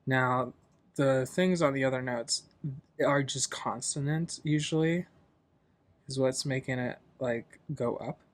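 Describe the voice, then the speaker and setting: A man hosting a software tutorial in a casual, loosely explanatory, informal way. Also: medium pitch, slow. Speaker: tutorial host.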